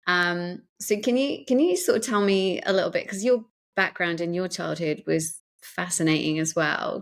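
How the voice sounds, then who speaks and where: A woman with a slightly low-pitched voice hosts a conversational interview. She talks informally, phrasing questions loosely and retelling moments in an animated way. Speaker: host, interviewer. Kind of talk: casual chatty interview.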